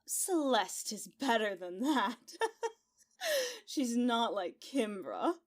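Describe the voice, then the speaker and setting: Young maid: blunt, sassy, lively swings in pitch, audio drama. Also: female voice, extremely slow, full character voice.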